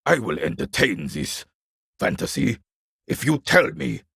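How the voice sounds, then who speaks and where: Casting: scornful old soldier for a game, heavy fry, rasping, wildly animated delivery. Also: male voice, medium pitch, unhurried, no room sound, studio quality, exaggerated voice. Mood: dry, sardonic. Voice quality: gravelly, raspy. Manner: gruff, scornful, theatrical, barking at younger men. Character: bitter, proud old royalist war veteran. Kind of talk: video game character dialogue.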